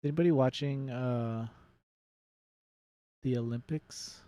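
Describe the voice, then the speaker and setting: A man's voice: mid-range voice, steady tone, slow, mellow, dry recording. A man chatting casually as he streams a game, rambling as he thinks aloud, with loose, sweary, nerdy commentary. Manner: self-described nerdy enthusiast. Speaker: game streamer hosting a let's play. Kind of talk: casual live gaming commentary and chat.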